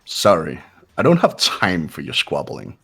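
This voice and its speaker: A man's voice: extremely low pitch, wildly swooping pitch, measured pace, gravelly, a bit bright, husky, soft background music, heavily acted. A man voicing a cold, haughty, condescending young man who gives orders in a fan-voiced visual novel game. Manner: condescending, clipped.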